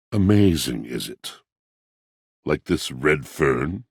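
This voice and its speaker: Deep, pompous, brooding washing machine. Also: male voice, vocal fry, breathy edge, dry recording, studio quality, full character voice. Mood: bored, disdainful. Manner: pompous, archaic, florid, brooding diction. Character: pompous, melancholy old-fashioned talking washing machine, male, literary snob. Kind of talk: theatrical video game character voice acting.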